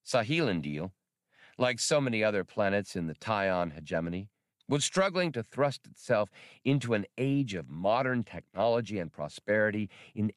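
A man narrating a science fiction novel as an audiobook reader, measured and expressive. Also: lowish voice, no echo, studio quality. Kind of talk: scripted narration.

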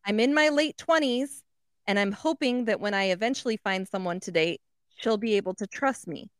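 A woman's voice: mid-range voice, moderate pace, clean tone, no echo. Woman reading a listener letter on a podcast, plain, dry mic. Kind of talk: reading aloud a listener question.

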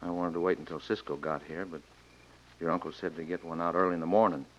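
An actor playing an earnest, worried, tender mine owner with an American accent in a radio western. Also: male voice, low-pitched, little pitch movement, fast talker. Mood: resolute, tender. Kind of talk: old-time radio western acting. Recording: muffled, noisy old radio recording.

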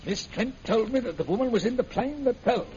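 Gruff, defiant elderly captive: high, cracked, gravelly voice, dull hissy vintage radio sound. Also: male voice, lively intonation, a little fast, dark tone. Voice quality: creaky, gravelly. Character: defiant old male prisoner, stubborn and gruff. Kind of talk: 1940s radio serial acting. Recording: muffled, noisy old radio recording.

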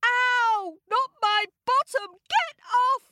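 A woman's voice: extremely high pitch, slow, bright, forceful tone, no echo, pitched above the performer's own voice, exaggerated voice. A woman voicing panicked royal guards in a children's story, yelping in pain with squealing cries.

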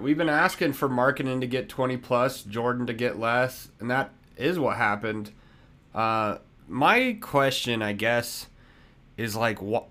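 A man hosting a live postgame fan talk show, talking in a slow, easygoing way with many fillers. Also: medium pitch, a bit bright. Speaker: podcast host.

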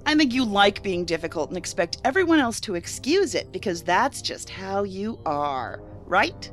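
A woman's voice: medium pitch, sing-song, moderate pace, lightly acted. A skeptical female federal agent, played by an actress, talks in a mid-range voice that swings expressively, with music underneath. She is blunt, sardonic and challenging, in the manner of a science fiction audio drama. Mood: sardonic, confrontational.

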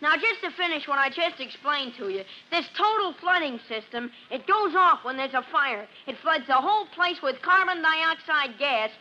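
A woman's voice: high-pitched, animated, moderate pace, hardly any pauses, pushed and bright, dull audio, full character voice. A woman playing a brainy, stubborn schoolboy who argues insistently in an old-time radio drama. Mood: explaining. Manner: insistent, know-it-all. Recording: faintly hissy vintage radio recording.

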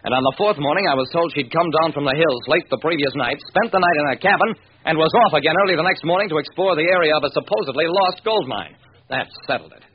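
A man's voice: on the high side, fast talker, natural voice. An American man playing a wry, impatient private detective in an old-time radio drama, with clipped hardboiled narration. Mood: wry narrating. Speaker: narrator and lead actor. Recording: noisy, dull vintage radio recording.

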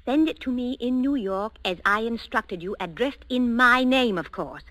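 An actress playing a bossy, domineering wife in a 1940s radio thriller, giving clipped, imperious orders. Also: female voice, a little high, expressive, moderate pace, a bit bright, dry recording. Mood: brisk, conspiratorial. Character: bossy, brisk, domineering wife who manages everything. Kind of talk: 1940s radio suspense acting.

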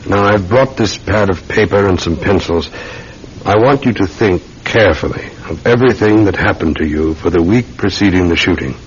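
A man's voice: deep voice, normal speed, close to an everyday voice. A man acting an earnest, methodical Scotland Yard sergeant in a classic radio drama, questioning politely and insistently. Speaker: actor in a radio mystery serial. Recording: muffled old radio recording with faint hiss.

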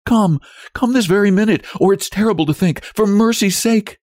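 A man's voice: sits high, normal speed, darkish tone, no echo, clean studio sound, voice pushed up, lightly acted. A man voicing a desperate young wife in a storybook reading, tearful, urgent and imploring. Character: desperate, pleading young wife begging for help.

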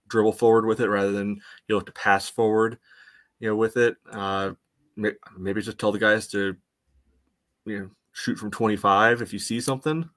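Male podcast co-host, low flat delivery, halting casual sports analysis full of "you know". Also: no echo, clean studio sound.

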